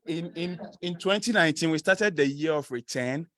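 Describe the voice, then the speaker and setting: A man's voice: a little high, moderate pace, a bit bright, no echo, clean studio sound. A young man from Ghana with a Ghanaian accent, giving an informal student presentation to an audience, chatty, laughing often and using many fillers. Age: young adult.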